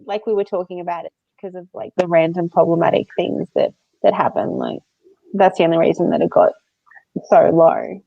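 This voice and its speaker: A woman's voice: lowish voice, fairly even intonation, fairly quick, vocal fry. A woman co-hosting a book readalong live show in giggly, informal casual chat. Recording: dull, roomy lo-fi sound.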